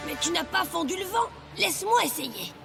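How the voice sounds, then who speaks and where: A woman's voice: very high-pitched, sing-song, normal speed, vocal fry, exaggerated voice. A woman voicing an eager young knight of stone in a French cartoon dub. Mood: eager, commanding. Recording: loud music under voice.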